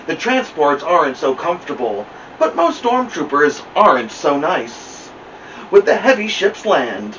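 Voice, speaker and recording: A middle-aged man reads a children's story aloud with dramatic emphasis. His fairly high voice swings widely in pitch. The sound is a little muffled, as if from a camera across a room. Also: fairly bright tone. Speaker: storytime host. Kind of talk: expressive children's picture-book reading. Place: indoor room at home, seated by a plant. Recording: muffled, noisy, distant camera mic.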